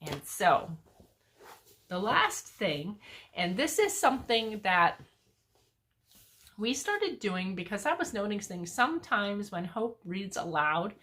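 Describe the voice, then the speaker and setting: A woman's voice: medium pitch, sing-song, slow, long pauses, a bit bright. An older woman, a homeschooling grandmother, chatting enthusiastically about books in a casual way with lots of fillers. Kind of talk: casual book review chat.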